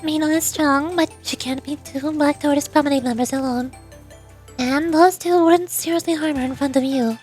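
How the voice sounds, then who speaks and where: A woman voicing a composed, calculating young female student president in a game story, speaking in a measured, formal and aloof way. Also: high voice, steady tone, darkish tone, faint music behind, natural voice. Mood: composed, commanding. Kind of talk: video game story character dub.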